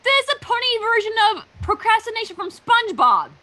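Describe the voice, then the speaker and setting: A woman in her twenties with a high, bright voice that sounds younger than she is. She chats casually and playfully, her pitch bouncing around in a lively way. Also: a little fast. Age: 20s. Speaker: livestream guest and voice performer. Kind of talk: casual livestream chat.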